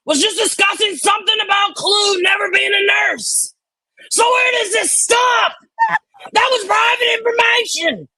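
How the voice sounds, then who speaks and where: A woman co-hosting casually, chatting and reading online comments aloud in a loud, theatrical, emphatic manner. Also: very high voice, no room sound, rough recording. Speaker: co-host.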